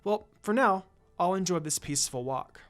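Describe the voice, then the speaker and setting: Expressive storytelling narrator over music. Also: medium pitch, normal speed. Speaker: fan fiction narrator. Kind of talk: scripted first-person story reading with character dialogue.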